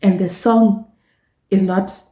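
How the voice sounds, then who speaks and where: A woman from Zimbabwe with a Zimbabwean accent, Shona her first language, talking casually to camera in a slow, halting way with many repeated words and restarts. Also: medium pitch, fairly even intonation, dark tone, reverberant. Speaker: travel vlogger and gospel singer.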